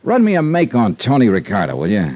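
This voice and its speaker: An American man playing a brisk, wry investigator in a 1950s radio detective drama, with punchy, clipped delivery. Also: medium pitch, huge pitch swings, no room sound. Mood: wry, probing. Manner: punchy, clipped, hard-boiled. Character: hard-boiled insurance investigator, brisk, wry, businesslike man. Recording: dull vintage radio recording, faint music.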